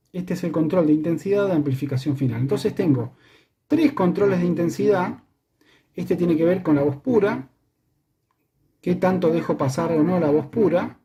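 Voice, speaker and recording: A man with an Argentine Spanish accent giving a methodical hands-on tutorial, repeating and rephrasing as he goes, his voice run through a voice changer. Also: warm tone. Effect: live voice changer, shifting in pitch and robotic tube-like tone. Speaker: electronics maker giving a demonstration.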